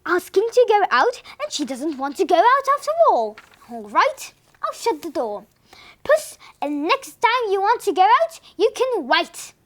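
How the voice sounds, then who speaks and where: A woman's voice: very high-pitched, very animated, measured pace, a bit bright, full character voice. A woman voicing a stubborn, grumbling elderly husband who is kind underneath, sounding exasperated.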